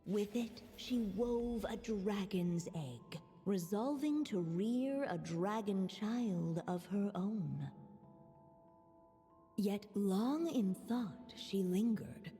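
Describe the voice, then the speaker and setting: A woman playing a gentle, solemn fairy-tale narrator in a video game, speaking in measured literary phrases with long reverb. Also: medium pitch, lively intonation, faint music behind. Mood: tender. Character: gentle storybook narrator, an unseen female storyteller.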